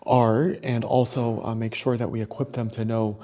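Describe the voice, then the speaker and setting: A man from New Hampshire speaking earnestly as a radio interview guest, measured and pausing as they think. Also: mid-range voice, little pitch movement, warm tone. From New Hampshire, United States. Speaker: interview guest, seminary music director. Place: on location at a seminary.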